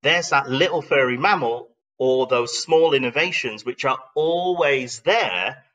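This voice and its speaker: Man, reflective online presenter, crisp bright tone, medium pitch, conversational explaining, rhetorical questions. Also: unhurried, far from the mic, highs cut off. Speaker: speaker presenting an online talk.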